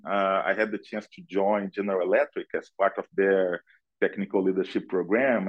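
A man's voice: on the low side, poor audio. A middle-aged man with a Brazilian accent giving conversational interview answers as a guest, hesitantly searching for words and often checking in with "right?". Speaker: podcast guest, analytics director.

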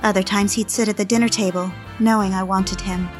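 A woman playing a yearning, submissive wife, narrating a dramatized diary in an intimate, measured way. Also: mid-range voice, fairly even intonation, close to an everyday voice. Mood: wistful longing. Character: yearning, submissive wife confessing an obsessive marriage. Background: background music.

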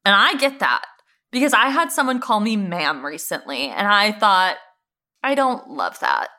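A woman's voice: mid-range voice, big pitch swings, unhurried, sharp tone. A woman co-hosting a podcast, chatting casually and effusively about television in an informal, emphatic way. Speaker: podcast co-host. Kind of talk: casual TV recap chat.

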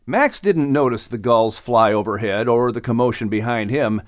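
A man working as announcer and narrator, giving animated scripted storytelling for children. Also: medium pitch, normal speed, dry recording, studio quality.

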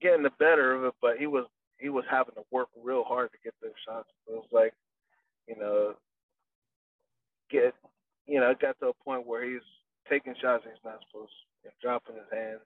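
A man's voice: medium pitch, fairly even intonation, normal speed, long pauses, no room sound. A man who trains boxers, talking casually in an interview and rambling with lots of fillers and restarts. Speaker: boxing trainer. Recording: dry, distant, phone-like audio.

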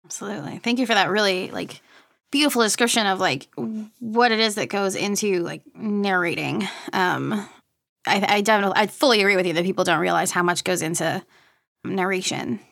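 A woman's voice: medium pitch, a little fast, creaky, a bit bright. A woman hosting a conversational podcast interview, affirming and supporting her guest as she asks questions.

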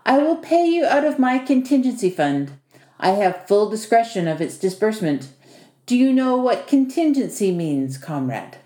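A short, bossy male house spirit from a storybook, performed by a woman. He is wry and teasing, with a foreign turn of phrase. The tone is mellow, and the room around it echoes. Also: mid-range voice, sing-song, moderate pace, natural voice. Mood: wry, businesslike. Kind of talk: audiobook character dialogue.

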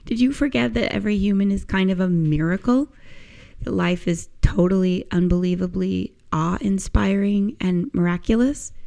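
A woman hosting her own podcast with a croaky, hoarse voice, talking in an encouraging, gently instructive way about a movement lesson. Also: mid-range voice. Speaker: podcast host and movement teacher. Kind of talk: casual podcast monologue.

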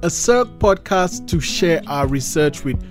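A man's voice: a little high, lively intonation, unhurried, soft background music. A man hosting an interview podcast, hesitant, with frequent fillers and restarts. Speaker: podcast host.

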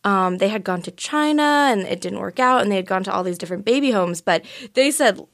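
A young woman chats as an interview guest. She sounds bubbly and open, with lots of "like" fillers and easy laughter. She speaks at a middling pitch. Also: lively intonation, fairly quick, a bit bright. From Vietnam. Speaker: guest actress. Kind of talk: casual interview chat.

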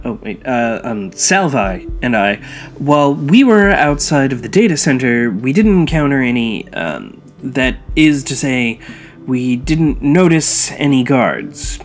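An actor playing a hesitant, anxious team member in an audio drama, stammering through stiffly formal sentences. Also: mid-range voice.

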